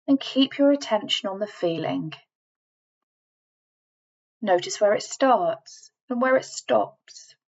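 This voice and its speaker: A woman reading a scripted guided relaxation in a measured, instructing manner, leaving deliberate pauses between phrases. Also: mid-range voice, a little mellow, lo-fi sound. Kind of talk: scripted guided meditation voiceover.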